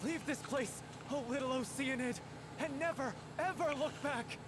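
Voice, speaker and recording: An actor playing an earnest, stern hero in a video game stage play, speaking in grave declamation. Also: male voice, very high voice, moderate pace, music in the background. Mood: commanding. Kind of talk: theatrical video game acting.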